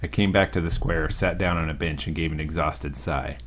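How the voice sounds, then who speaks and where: A man's voice: rumbling low, brisk, slight background noise. A young man reading a visual novel aloud as a let's play host, with dry, joking asides.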